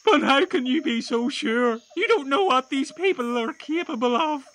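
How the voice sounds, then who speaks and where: Shrill, anxious, fretting castaway. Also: male voice, lively intonation, normal speed, a bit bright. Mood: frightened. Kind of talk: comedy audio drama acting.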